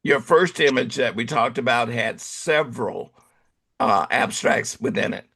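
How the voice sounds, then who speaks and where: A man with a rough, gravelly edge to his voice trades critiques of photos at a club meeting. He restarts his sentences often and slips in jokes. His voice has a breathy, worn texture. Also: medium pitch, lively intonation, sharp tone. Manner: halting, joking, many restarts. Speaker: camera club member giving critique. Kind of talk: casual critique chat.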